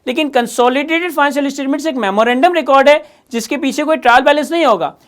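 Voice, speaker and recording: High-pitched, fast-talking Pakistani man lecturing. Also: a bit bright. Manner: emphatic, repetitive, step-by-step explaining. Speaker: accounting lecturer. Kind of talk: instructional lecture.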